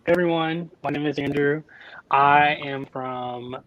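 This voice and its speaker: A man's voice: a little high, fairly even intonation, measured pace, a bit bright. A man from Washington state, a tenor, introducing himself casually. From Washington state, United States. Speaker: opera singer, tenor. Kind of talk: casual self-introduction chat. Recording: dull lo-fi call audio.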